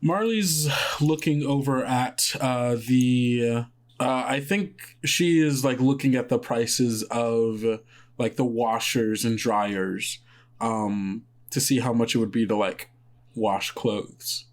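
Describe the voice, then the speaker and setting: A man's voice: medium pitch, slow pace. A man narrating a tabletop game as game master, rambling and filling his sentences with hesitations. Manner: rambling, full of "like" and "uh" fillers.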